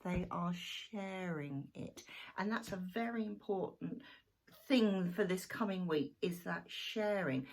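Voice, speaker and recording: Casting: middle-aged woman, husky and croaky, chatty tarot reader talking to camera. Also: mid-range voice. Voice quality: gravelly, croaky throat. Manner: chatty, homely, with fillers like "you know". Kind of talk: one-card tarot reading to camera.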